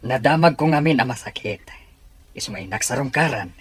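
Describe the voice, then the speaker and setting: An actor playing a tribesman and husband with a gentle, reassuring manner, in radio-style drama. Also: mid-range voice, animated, brisk, a little hiss or hum, lightly acted. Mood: calm, reassuring. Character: calm, reassuring husband and tribesman setting out riding. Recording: music under the voice.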